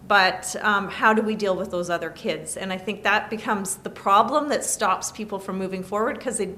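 Measured woman explaining law. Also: mid-range voice, pushed and bright, a little hiss or hum. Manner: measured, explains by listing points. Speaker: lawyer guest. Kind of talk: interview answers. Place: studio table.

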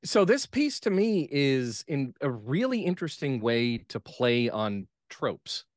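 An American man speaking as a playwright guest in an interview, analytical, measured and thoughtful. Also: medium pitch, big pitch swings, no echo, clean studio sound. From United States.

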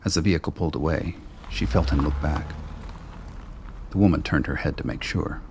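Casting: gravelly, very deep male narrator, steady and even. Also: a little fast, slight background noise. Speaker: writer and narrator. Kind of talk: scripted radio play narration.

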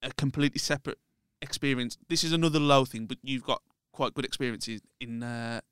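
A podcast host talks casually with an old friend, nudging him along with questions. His voice is mid-pitched and smooth. The recording is close and dry. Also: steady tone, brisk, fairly bright tone, dry recording. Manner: friendly, prompting questions, acts out quotes. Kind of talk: casual interview chat.

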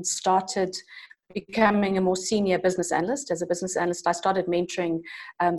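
South African woman, low and thoughtful. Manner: thoughtful, with frequent ums and restarts. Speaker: internal coach and change manager, guest. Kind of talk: conversational interview answers.

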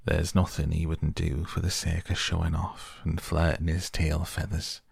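A man voicing a gruff, sarcastic old Yorkshire gardener with blunt, grumbling dialect speech in an audiobook story. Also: low voice, flat delivery, moderate pace, no room sound, studio quality, heavily acted. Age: older.

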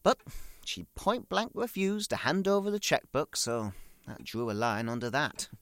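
A man acts out a prim, aggrieved middle-aged British son in a comic monologue. His delivery is pompous and florid, swinging widely in pitch with a bright, forceful edge. The sound is dry and free of room. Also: sing-song, normal speed, no echo. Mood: exasperated. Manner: prim, pompous, florid wording, prone to indignation. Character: fussy, petty, aggrieved stay-at-home son, middle-aged.

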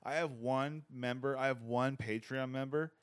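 A man in his thirties hosting a comedy podcast, joking in a dry, deadpan way with sudden loud bursts. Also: mid-range voice, moderate pace. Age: 30s. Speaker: podcast host.

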